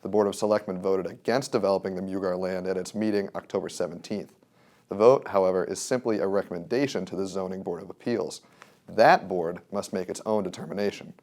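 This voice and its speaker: A man's voice: sits low, steady tone, a little fast, a little mellow. An American man reading a scripted local news report as a reporter.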